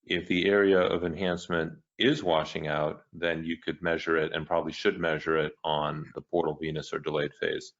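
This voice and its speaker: A man answering a lecture question in a measured way with frequent pauses and fillers over a video call. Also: a bass voice, little pitch movement, a bit bright. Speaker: co-director and lecturer. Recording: dull muffled web call audio.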